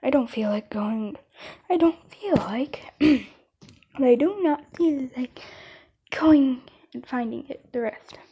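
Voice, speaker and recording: Slow, halting high-pitched woman. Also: breathy edge, muffled recording. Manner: halting, stumbling and restarting lines. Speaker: amateur fan comic dubber. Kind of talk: casual read-aloud of fan comics with asides.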